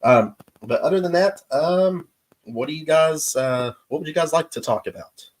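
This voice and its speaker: A man hosting his show's live stream, chatting casually with viewers in an easygoing, halting way, his voice stuffed up from allergies. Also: fairly high pitch, low-quality recording. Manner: easygoing, halting with many pauses. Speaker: show host. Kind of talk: casual livestream chat with viewers.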